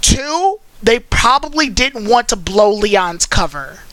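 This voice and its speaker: A bright, crisp-edged host voice in casual conversation at a middling pitch. The delivery is that of an animated, wry storyteller walking through a series and picking at it. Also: slow pace, no echo. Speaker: host of an anime commentary stream. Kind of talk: casual chat recapping and critiquing a cartoon series.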